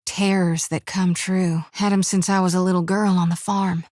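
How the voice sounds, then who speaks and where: An actress voicing a folksy, earnest young priestess of a death god in a video game, with a drawl, rambling and plainspoken. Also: female voice, fairly low pitch, flat delivery, normal speed, a little mellow, dry recording, clean studio sound, lightly acted.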